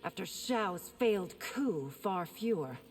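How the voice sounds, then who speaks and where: Proud warrior princess over music. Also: female voice, medium pitch, expressive, takes it slowly, darkish tone.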